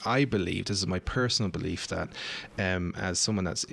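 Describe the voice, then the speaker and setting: A man's voice: deep voice, fairly quick, creaky, fairly bright tone. A man with an Irish accent, talking as a guest on a talk show, hesitant and full of fillers and restarts. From Ireland. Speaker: guest commentator.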